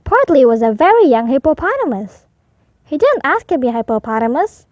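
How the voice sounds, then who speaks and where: A woman reading a picture book aloud for young children, expressive and deliberate, in a slightly muffled recording. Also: high-pitched, dry recording. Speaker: storybook reader.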